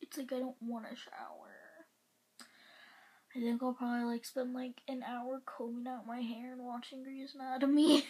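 A young woman talks into a phone held at arm's length in her bedroom, her voice husky and a touch high. She rambles and pokes fun at herself, often sinking into soft whispers. She sounds a little stuffed up, as if coming down with a cold. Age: young adult. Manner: rambling, self-mocking, drifts into soft whispers. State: congested. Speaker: vlogger. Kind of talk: casual vlog chat. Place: bedroom, indoors. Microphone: phone camera at arm's length.

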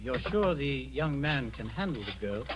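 An actor playing an authoritative doctor, measured and formal, in a 1940s radio drama. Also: male voice, medium pitch, big pitch swings, a little hiss or hum, close to an everyday voice. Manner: measured, formal, hesitant pauses. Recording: muffled old radio recording.

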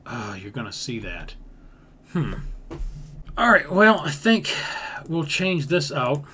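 A man's voice: a little high, sing-song, slow, sharp tone. A man giving casual commentary as he builds in a video game, thinking aloud, repeating himself and using folksy grammar. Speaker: gaming video creator. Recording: background noise with keyboard typing.

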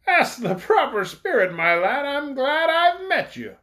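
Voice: very animated, a bit bright, exaggerated voice. A bedtime-story reader voicing a big-voiced stranger who welcomes a wicked boy. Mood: approving. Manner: booming, slow, chummy. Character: big-voiced hearty stranger, sly and approving.

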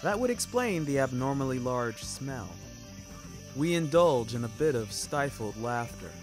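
Guy reading a story podcast, slow n dramatic, careful words, music behind him. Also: medium pitch, animated, poor audio. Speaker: fiction podcast narrator. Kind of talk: scripted first-person story narration. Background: background music.

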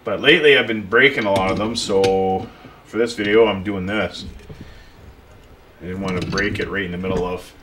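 A man's voice: low-pitched, steady tone, moderate pace. A man talking a viewer through a repair at his workbench in a casual, plain way. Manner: plain, unpolished explaining while working, talking to the viewer. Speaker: pool and spa repair technician. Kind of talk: casual hands-on repair tutorial. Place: workshop bench. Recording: tool clicks and workshop noise.

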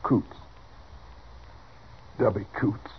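An actor playing a meek, badly wounded cowboy with an American accent, halting and folksy, in a 1950s western radio drama. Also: male voice, slight background noise. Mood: meek, confessing. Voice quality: husky. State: weak, dying. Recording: dull 1950s radio recording.

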